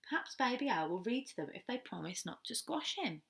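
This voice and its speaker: A woman voicing a gentle, kindly father owl in a children's story, soft and calm. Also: a little high, brisk, vocal fry, exaggerated voice.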